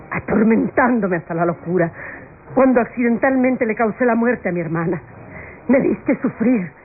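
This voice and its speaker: Actress doing a bitter older rich aunt, high anguished voice, old radio soap, tinny noisy recording. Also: female voice, close to an everyday voice. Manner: anguished, emphatic, melodramatic declamation. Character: wealthy older aunt, wounded, bitter and unforgiving. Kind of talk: classic Latin American radio soap acting.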